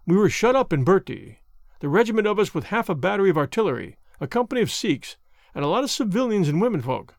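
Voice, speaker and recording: A man's voice: high-pitched, very animated, fast, dry recording, studio quality. A man reading an audiobook, voicing a bitter, twisted former soldier with a vehement, impassioned delivery. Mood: bitter storytelling.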